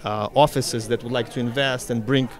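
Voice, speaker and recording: A young man with a heavy Russian accent talks through an interview in a mid-range voice, rambling and leaning on "you know". He sounds relaxed and chatty. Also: medium pitch, moderate pace. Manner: talkative, fills speech with "you know". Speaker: interviewee, real estate broker. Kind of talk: casual interview.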